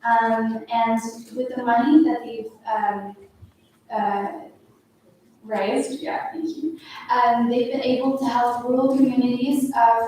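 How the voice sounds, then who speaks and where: A woman's voice: a little high, a little mellow. A young woman giving a group presentation as a student, somewhat hesitantly. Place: large echoey room at a student plenary. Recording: very echoey hall, distant mic, faint noise.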